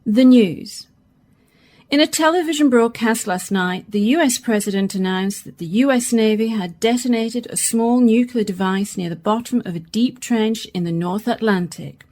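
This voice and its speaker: Woman acting as a lady news reporter, radio drama, highish voice, broadcast style. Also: moderate pace, a little mellow, natural voice. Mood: formal. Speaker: reporter and newsreader.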